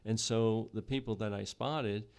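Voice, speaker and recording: A middle-aged man from New Jersey talks through a relaxed podcast interview. His voice is low and gravelly, and he is measured and unhurried, stopping often with fillers and restarts. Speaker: podcast guest, economic development board chair. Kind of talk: casual interview chat.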